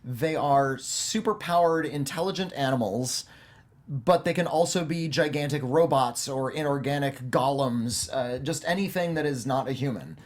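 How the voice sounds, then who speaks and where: A man's voice: mid-range voice, normal speed. A man hosting a film discussion, explaining things at length in a wry, conversational way. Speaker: host of a film review panel show.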